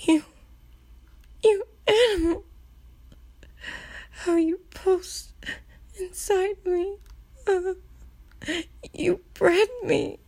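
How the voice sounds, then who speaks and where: A woman playing a proud, distressed adult demigoddess in an audio roleplay, with halting, breathy exclamations. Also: extremely high pitch, fairly even intonation, darkish tone, full character voice. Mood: distraught.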